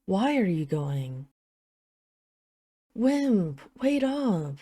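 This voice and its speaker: A woman's voice: mid-range voice, big pitch swings, very slow, dark tone, no echo, clean studio sound, exaggerated voice. A woman playing a haughty, teasing dragon queen in an intimate audio roleplay, with an imperious, sarcastic, mock-sweet manner. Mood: playful, indignant. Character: haughty, teasing dragon queen, possessive of her human pet husband. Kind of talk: intimate scripted audio roleplay addressed to the listener.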